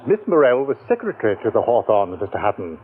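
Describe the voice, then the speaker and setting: Deferential butler, hesitant and polite, lively pitch, tinny radio. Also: male voice, fast. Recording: tinny old radio broadcast.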